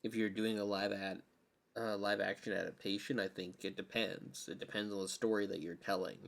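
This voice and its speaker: A man's voice: fairly low pitch, flat delivery, a little fast, vocal fry, fairly bright tone. A man co-hosting a podcast, talking casually and giving his opinions in a conversational way. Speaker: podcast co-host. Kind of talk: casual chat about games and films.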